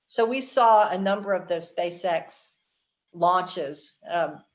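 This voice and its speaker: A woman's voice: fairly low pitch, slow pace. A woman from Texas chatting casually and spontaneously as a guest in a conversation. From Houston, Texas, USA. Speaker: interview guest. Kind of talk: casual chat. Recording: dull lo-fi call audio.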